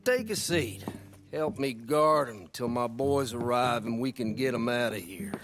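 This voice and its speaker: An actor playing a folksy, tough American small-town sheriff in a western video game. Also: male voice, mid-range voice, animated, measured pace, over music. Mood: smug.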